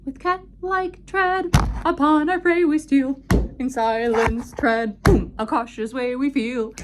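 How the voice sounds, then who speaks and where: A woman voicing boastful, clueless sneaking pirates in a puppet show, with a loud, dramatic singsong delivery. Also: high-pitched, fairly even intonation, takes it slowly, heavy background noise, voice pushed up. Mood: boastful singing.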